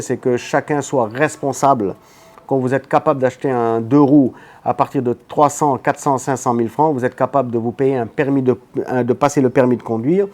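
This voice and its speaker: A man with a Senegalese French accent speaks in an earnest, emphatic way, often repeating words as he makes his point. His voice is mellow and warm, pitched in the middle range. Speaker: guest, motorbike shop owner. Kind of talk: interview answers.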